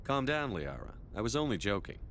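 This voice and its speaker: An actor playing a composed, reassuring starship commander in a video game, speaking in a direct, plain way. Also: male voice, lowish voice, very animated, a little fast, vocal fry, a bit bright, slight background noise, full character voice. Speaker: ship's commander.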